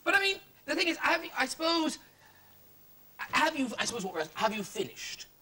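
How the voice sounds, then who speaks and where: A man presenting a TV chat show in a studio, bubbly, giggly and hurried as he talks to his guest. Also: very high-pitched, big pitch swings, bright, forceful tone.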